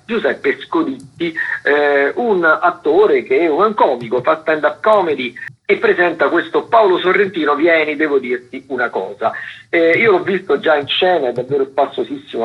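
A thin, phone-like radio recording carries a man with a very husky, breathy voice at a medium pitch. He presents listings as a host, half improvising his recommendations. His delivery is halting and digressive, with words repeated as he finds his way. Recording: muffled, phone-like narrow-band radio sound.

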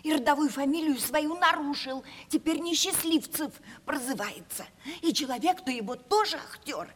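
An actress playing a sly, obsequious, gossiping maidservant with folksy phrasing in a Russian radio play. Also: female voice, sits high, expressive, normal speed. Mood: tattling. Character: fawning, gossiping female servant of a lady.

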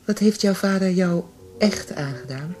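A woman's voice: lowish voice, unhurried, darkish tone, faint music behind, natural voice, low-quality recording. An actress playing a worldly, cynical young woman sheltering a friend in a radio drama. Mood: cynical, frank.

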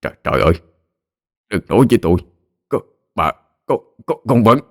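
A man voicing a sick, horrified old husband who stammers in a low, hushed voice. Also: very animated, fairly quick, a little hiss or hum.

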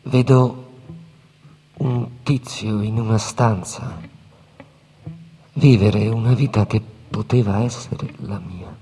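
A man playing a regretful figure musing haltingly on a missed life, in a theatrical monologue. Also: fairly low pitch, fairly even intonation, dark tone, breathy edge, full character voice, rough recording. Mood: pensive, regretful. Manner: halting, pausing, repeating words. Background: plucked guitar.

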